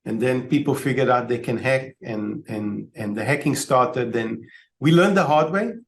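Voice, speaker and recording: A man's voice: medium pitch, moderate pace, slight background noise. A man in his fifties from Israel, talking as a guest over a video call, telling stories with many ums and restarts. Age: 50s. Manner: storytelling, frequent ums and restarts. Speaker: guest, IT company owner. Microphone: laptop webcam on video call.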